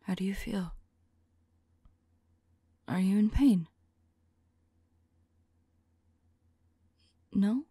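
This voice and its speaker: A woman performs as a devoted female vampire in an ASMR audio drama. She speaks in slow, breathy whispers with a faint creak, tender and anxious, pausing as she muses to her beloved. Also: mid-range voice, no room sound. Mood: tender, worried. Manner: halting, soft, musing to a loved one.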